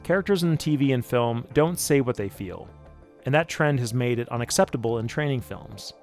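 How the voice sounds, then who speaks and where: A man delivers scripted explainer narration as the narrator for a video production. His voice sits at a middling pitch, with music playing softly underneath. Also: expressive, normal speed. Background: background music.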